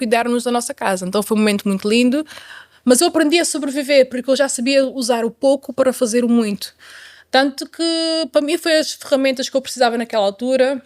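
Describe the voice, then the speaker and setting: Fast-talking higher-voiced Portuguese woman. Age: middle-aged. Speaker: guest telling her life story. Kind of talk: conversational personal storytelling.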